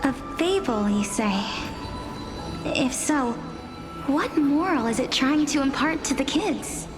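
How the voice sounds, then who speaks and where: A woman's voice: sits high, animated, slow pace. An actress playing a young woman scholar in a game, telling a tale and teasing her friend with giggles. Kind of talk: game voice acting. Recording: game dialogue over music.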